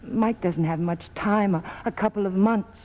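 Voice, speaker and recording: A woman's voice: mid-range voice, normal speed, darkish tone, natural voice, poor audio. An actress playing a scheming, world-weary young woman in 1940s radio drama style, brittle and dryly sarcastic. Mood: bargaining.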